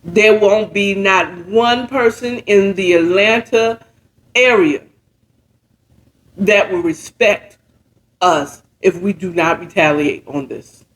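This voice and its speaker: A man's voice: sits high, slow pace, a bit bright, poor audio. An American man retelling a story in a conversational, slangy way, checking in with the listener and slipping into characters' dialogue. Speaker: host retelling and reacting to a story. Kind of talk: informal storytelling recap with commentary.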